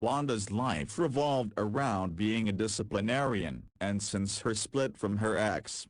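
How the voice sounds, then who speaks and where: Low-voiced male storybook narrator. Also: expressive, measured pace, no echo, clean studio sound.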